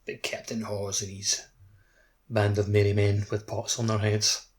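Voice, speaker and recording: A man's voice: on the low side, monotone, unhurried. A man chatting casually as a co-host on a gaming podcast. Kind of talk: casual chat. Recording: faint background noise.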